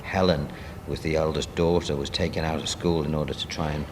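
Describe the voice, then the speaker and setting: A man speaking as a playwright and producer in an interview, reminiscing in a halting, conversational way. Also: very deep voice, fairly even intonation, big-room sound, slight background noise.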